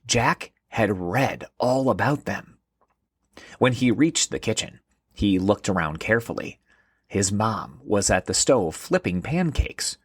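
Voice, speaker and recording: A male narrator reads a scripted story for children with expressive, swooping delivery. His voice sits a little low and has a husky grain. The sound is very dry, with no room around it. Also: measured pace, dry recording. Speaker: host and narrator.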